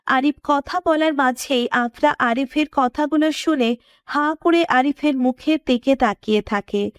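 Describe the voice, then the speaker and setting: A woman's voice: sits high, unhurried, no room sound, studio quality. A woman reading scripted narration for a romantic story as its narrator.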